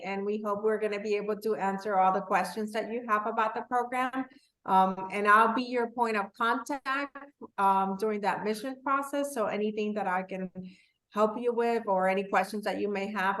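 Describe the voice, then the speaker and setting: A woman's voice: medium pitch, a bit bright. A woman greeting people as an admissions contact on a video call, polite and welcoming. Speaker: university admissions program director. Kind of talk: courteous webinar greeting. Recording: echoey room over video call.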